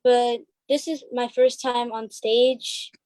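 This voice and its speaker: A woman with a high, soft-toned voice answers interview questions casually. She speaks slowly and hesitantly, as a novice cast member would. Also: little pitch movement, dry recording.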